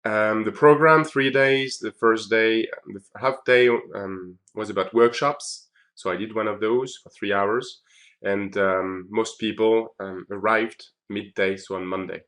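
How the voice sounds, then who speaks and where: Chatty man with a low voice. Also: steady tone, a little slow, fairly bright tone. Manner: chatty, frequent ums and uhs. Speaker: video blogger. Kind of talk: conference recap vlog.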